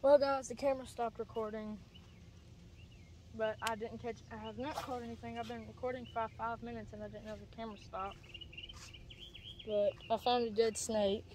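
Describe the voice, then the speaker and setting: Young boy vlogging by a pond. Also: sits high. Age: young teen boy. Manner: chatty, plain, explains as he goes. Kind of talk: casual fishing vlog. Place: outdoors by a rural pond. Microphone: handheld camera, shifting distance. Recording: outdoor noise, camera mic.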